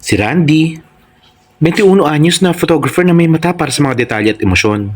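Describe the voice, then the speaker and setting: A man's voice: a little high, lively intonation, fairly quick. A man with a Filipino accent reading a scripted romantic story as narrator. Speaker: narrator and channel host.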